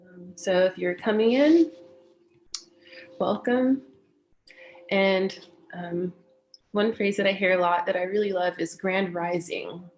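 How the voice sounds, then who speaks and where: A woman from California with an American accent, talking gently and unhurriedly as she hosts a guided meditation session and speaks in spiritual phrases. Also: medium pitch, takes it slowly, long pauses, low-quality recording. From California, United States. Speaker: meditation teacher and session host. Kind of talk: welcoming introduction to a guided meditation, personal talk.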